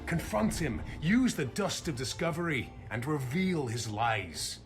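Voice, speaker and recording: An actor voicing a calm male quest-giver in a game, measured and advising. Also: a little high, over music.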